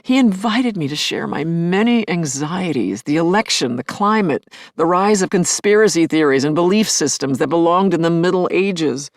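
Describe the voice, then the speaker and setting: Wistful widow in a dry close recording. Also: female voice, on the low side, animated, moderate pace, few pauses, no echo. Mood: animated, reflective. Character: grieving widowed scientist, wistful, wry, tender, anxious. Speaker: actor in an audio play. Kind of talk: intimate dramatic first-person monologue.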